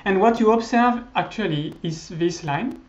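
A man's voice: high-pitched, a little slow. A man in his thirties whose first language is French, giving a recorded university lecture over slides, hesitant, with many fillers and restarts. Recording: slightly dull lo-fi audio.

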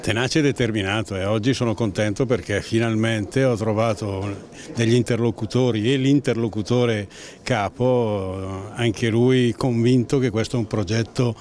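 Lowish older northern Italian man. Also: fairly even intonation, moderate pace, darkish tone. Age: 60s. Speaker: local official. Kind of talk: interview statement. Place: indoor office. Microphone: handheld reporter microphone.

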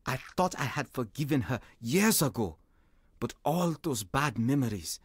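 A man's voice: medium pitch, animated, normal speed, husky, dry recording, clean studio sound. An actor playing an earnest, weary evangelist who tells his life story in a radio drama. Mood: anguished. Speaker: actor narrating and playing a preacher. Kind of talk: radio drama acting and first-person narration.

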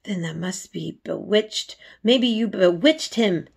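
A woman voicing an anxious, excitable young Yorkshire housemaid from a storybook, exclaiming in dialect. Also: mid-range voice, slow, creaky, breathy edge, full character voice. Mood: astonished. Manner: agitated dialect speech, exclaiming.

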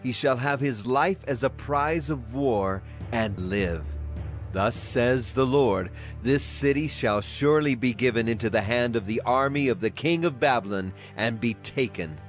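Actor as a grave ancient prophet over music. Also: male voice, medium pitch, unhurried, lightly acted. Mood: solemn warning. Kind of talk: dramatized scripture reading.

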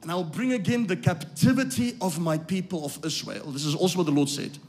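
A man preaching a live sermon to a congregation. He swings from quiet asides to loud, shouted lines, repeats his phrases, checks that the crowd follows, and is losing his voice. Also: sits high, lively intonation, brisk, slightly rough, faint music behind. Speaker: pastor.

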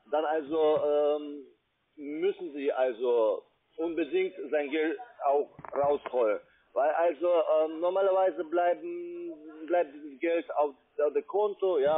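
A non-native German speaker, a man with a foreign accent, making a halting, repetitive sales pitch. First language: not German. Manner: halting, repetitive, tag-question fillers. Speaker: call-centre caller pitching investments. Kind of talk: sales pitch on a phone call. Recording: tinny narrowband phone line.

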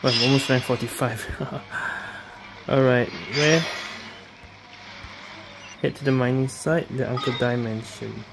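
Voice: mid-range voice, steady tone, slow, creaky, pauses often, heavy background noise. A gaming video creator giving casual, brief commentary while playing.

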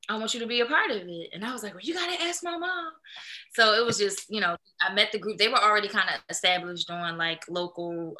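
A young woman from Philadelphia talks through her story in a casual video call from a small room. Her voice is bright and forward at a middle pitch, and she rambles easily with fillers and soft laughs. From Philadelphia, USA. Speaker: singer, guest. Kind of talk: casual interview.

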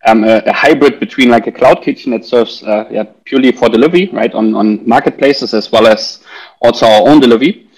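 A man in his thirties takes part in a business panel by video call. His voice sits at a middle pitch, and he explains in short, hesitant bursts. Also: fairly quick, darkish tone, poor audio. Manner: hesitant, broken into short phrases. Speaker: startup executive, panelist.

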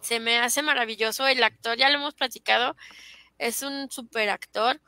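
High, bright-voiced Mexican woman. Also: steady tone, normal speed, smooth, no echo. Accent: Mexican Spanish. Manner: enthusiastic, emphatic agreement. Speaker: podcast co-host. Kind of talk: casual fan chat over video call. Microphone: video call.

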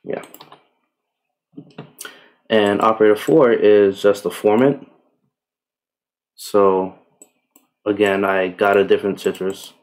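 A man giving a casual software tutorial in a relaxed, unhurried way with tentative asides. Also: on the low side. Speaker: tutorial presenter. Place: indoors, voice-over on screen recording.

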